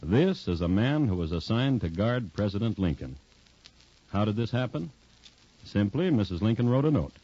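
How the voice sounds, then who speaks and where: An actor playing a wry, deadpan true-crime host, narrating a scripted 1950s radio show with dry irony. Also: male voice, fairly low pitch, normal speed. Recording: dull 1950s radio recording.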